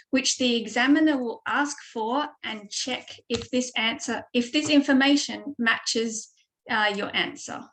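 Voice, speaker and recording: A woman's voice: on the high side, fairly bright tone, poor audio. An Australian woman giving a webinar lesson as a speaking tutor over a video call mic, explaining steadily with frequent ums. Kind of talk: online webinar presentation.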